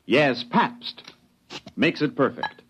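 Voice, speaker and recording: A man's voice: very animated, slow, a bit bright. A man reading a 1950s radio advertisement as a polished commercial announcer. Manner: polished sales pitch. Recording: muffled old radio recording, faint music.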